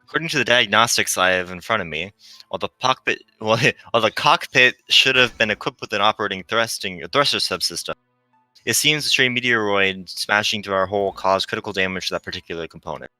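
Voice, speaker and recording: A man's voice: on the low side, fairly quick, pushed and bright, dry recording, poor audio. A man voicing a polite, eager-to-please female android navigator, formal, literal and hesitant, in a visual novel reading. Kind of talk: visual novel read aloud. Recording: dry close mic, background music.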